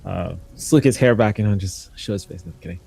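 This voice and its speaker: Man player, very low voice, casual halting narration. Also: vocal fry, darkish tone, husky. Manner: halting, with fillers and jokes. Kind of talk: describing his character's actions.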